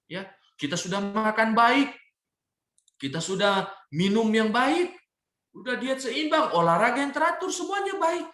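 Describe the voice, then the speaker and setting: A man with a Manado Indonesian accent gives a religious health talk as a doctor, emphatic, slowing on key phrases and often adding small check-in words. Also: sits high, fairly bright tone. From Manado, North Sulawesi, Indonesia. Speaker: doctor giving a health testimony. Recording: roomy, echoing sound.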